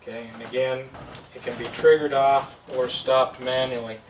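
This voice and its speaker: A man's voice: mid-range voice, flat delivery, normal speed, recorded from a distance, slight background noise. A man giving a plain, step-by-step product demonstration at a table indoors. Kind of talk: hands-on product demo. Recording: roomy sound from off-mic.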